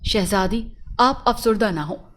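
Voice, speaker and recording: Lady doing a polite gentle young prince from a storybook. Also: medium pitch, measured pace, voice pushed down, lightly acted. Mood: gentle, consoling. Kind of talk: storybook character voice.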